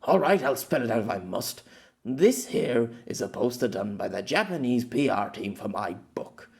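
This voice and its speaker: A man's voice: medium pitch, very animated, normal speed, gravelly, darkish tone. A man voicing a grumpy, condescending novelist with a pompous, exasperated, sardonic manner. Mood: smug.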